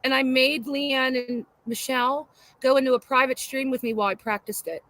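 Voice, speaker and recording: A high-pitched woman co-hosting a relaxed livestream, playful and chatty. She jokes around, greets viewers by name and banters with her co-host. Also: normal speed, no echo. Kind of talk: casual livestream chat.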